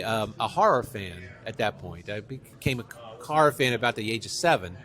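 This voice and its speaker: A middle-aged man from New York speaks as an interview guest in an average-pitched voice. He is talkative and enthusiastic, telling stories in a loose conversational way. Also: a bit bright. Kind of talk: conversational interview, anecdotal storytelling.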